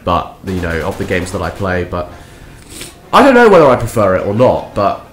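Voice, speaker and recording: A young man, croaky and nasal from a cold, rambling through a sarcastic gameplay commentary. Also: low voice, huge pitch swings, normal speed, a little hiss or hum. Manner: rambling, sarcastic, many restarts. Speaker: gaming commentator. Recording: echoey room with faint game sound.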